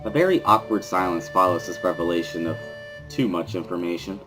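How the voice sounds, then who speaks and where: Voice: deep voice, brisk, over music. A storyteller giving a dramatic reading of a first-person story as narrator.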